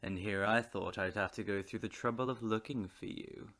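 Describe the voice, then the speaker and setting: An actor playing a smug, menacing crime boss in an audio drama, drawling and mock-polite. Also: male voice, fairly low pitch, fairly even intonation, normal speed, fairly bright tone. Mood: gloating.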